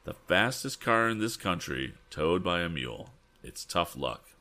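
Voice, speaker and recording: A man's voice: low-pitched, big pitch swings, fairly quick, bright, forceful tone, exaggerated voice. A man voicing an earnest, practical young male inventor in a storybook, speaking directly and politely. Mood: rueful.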